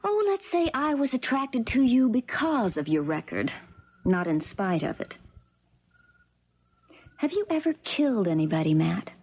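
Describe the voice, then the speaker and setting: Husky, cold rich schemer. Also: female voice, on the high side, sing-song, a little slow, pauses often, full character voice. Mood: controlled, calculating. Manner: controlled, calculating, commanding. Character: cold, spoiled rich young woman plotting murder. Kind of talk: 1970s radio drama acting. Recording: dull, lo-fi 1970s radio recording.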